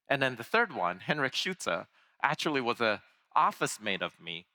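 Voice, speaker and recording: Bright-voiced man giving a keynote. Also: mid-range voice. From Taiwan. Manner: conversational, with fillers and restarts. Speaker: keynote speaker. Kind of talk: conference keynote talk. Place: large conference hall.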